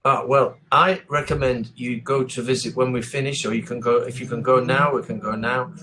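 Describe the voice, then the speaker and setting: English man, online language tutor. Encouraging, conversational, mid-pitched, circles back over his advice. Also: steady tone, moderate pace, background noise. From England. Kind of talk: casual one-to-one lesson chat. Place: online video call.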